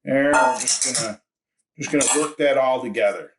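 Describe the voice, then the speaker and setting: Man, from America, cooking show co-host, easygoing wry commentary, middle pitch, clear clatter of pots and utensils. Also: normal speed, heavy background noise. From United States. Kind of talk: casual cooking demonstration. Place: kitchen.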